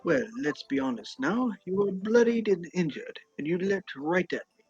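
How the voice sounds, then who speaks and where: Man as a husky, self-righteous alchemist, dull audio. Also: high voice, expressive, moderate pace, dry recording, faint music behind, exaggerated voice. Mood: dry, needling. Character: dry, self-righteous male alchemist, teasing his companion. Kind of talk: amateur live fan dub of a video game.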